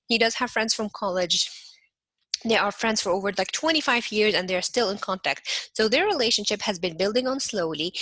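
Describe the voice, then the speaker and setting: Bright-voiced woman lecturing. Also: mid-range voice, dry recording, low-quality recording. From Egypt. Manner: conversational explaining with everyday examples; talks to listeners as "you" and checks in with "right?". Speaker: instructor. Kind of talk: lecture to a class.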